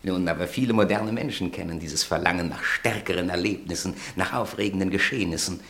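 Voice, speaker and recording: A man's voice: low voice, fairly quick, fairly bright tone. An actor playing a suave, proud agency director in a German radio drama, talking in florid, courteous salesman's phrases. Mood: enthusiastic pitch.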